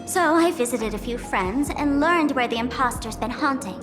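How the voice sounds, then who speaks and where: A woman's voice: sits high, expressive, normal speed, in a large hall. A woman voicing a playful young trickster hostess in a video game, with a theatrical, teasing manner. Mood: playful, confiding. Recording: background music behind the voice.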